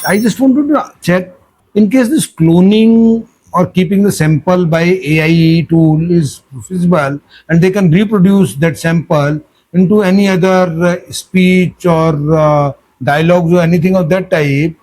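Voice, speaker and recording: A man, the host's father, reading aloud and asking measured, formal questions that check his understanding. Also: sits high, a little mellow, slight background noise, poor audio.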